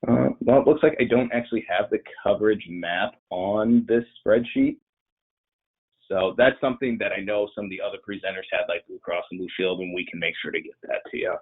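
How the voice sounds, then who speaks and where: Muffled, band-limited webinar audio carries a very low-pitched man who sounds congested and nasal from a cold. He answers questions in a loose, rambling, conversational way, and his voice is somewhat rough rather than clean. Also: a little fast. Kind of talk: informal webinar Q&A. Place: online presentation.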